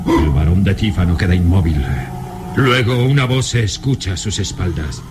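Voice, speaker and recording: A man's voice: sits low, normal speed, noisy background. A man narrating a radio drama from a script in a solemn, theatrical manner.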